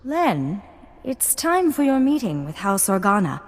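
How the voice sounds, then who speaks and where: A woman's voice: medium pitch, big pitch swings, a little slow. An actress playing a proper young noblewoman in a video game, speaking in a formal, refined manner. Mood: poised. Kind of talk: video game cutscene acting.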